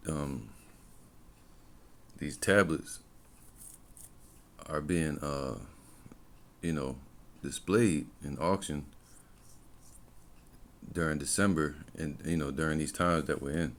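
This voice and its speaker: An American man giving informal religious teaching and commentary as he reads, in halting short phrases. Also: a bass voice. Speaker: religious camp teacher and presenter.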